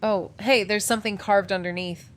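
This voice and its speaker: A woman's voice: mid-range voice, fairly even intonation, normal speed, close to an everyday voice. An actress playing a skittish, wisecracking female amateur sleuth in a mystery audio drama, blunt, colloquial and sarcastic. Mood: curious, startled. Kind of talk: neo-noir audio drama dialogue and narration.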